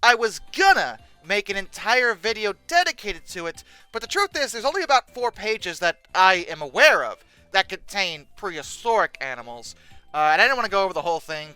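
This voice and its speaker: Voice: medium pitch, lively intonation, fairly quick, bright, forceful tone, clean tone, dry recording, faint music behind, low-quality recording. A video host giving casual, humorous commentary in a sarcastic, exasperated manner with dramatic emphasis.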